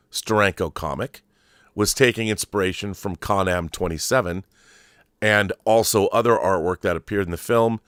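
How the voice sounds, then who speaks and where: A man in his fifties hosting a film commentary show, talking to viewers in an emphatic, deliberate monologue with stressed pauses and repeated phrases. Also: lowish voice, dry recording, studio quality. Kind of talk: opinionated monologue to viewers about film collectibles.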